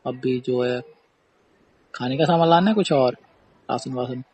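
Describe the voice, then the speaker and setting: A man's voice: medium pitch, unhurried, darkish tone, husky. A man talking casually in a recorded phone call. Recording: faint background noise on call recording.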